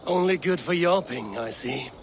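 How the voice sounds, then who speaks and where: An actor playing a stoic Viking warrior in a video game, speaking in a terse, direct and dry manner. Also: male voice, a little high, animated, normal speed, slight background noise. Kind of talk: video game cutscene acting.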